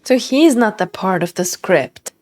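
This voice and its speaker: A woman's voice: mid-range voice, expressive, a little slow, darkish tone. A woman livestreaming a game, reacting casually with murmured asides. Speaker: streamer.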